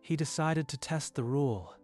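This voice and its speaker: A man reading scripted story narration in a measured, literary storytelling manner. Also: a little high, darkish tone, soft background music.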